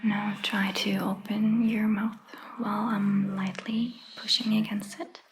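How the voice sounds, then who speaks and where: A woman performing a soft-spoken ASMR roleplay as a caring vampire doctor, whispering breathily in a gentle, reassuring manner. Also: mid-range voice, little pitch movement, a little hiss or hum. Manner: gentle, reassuring, slow and deliberate.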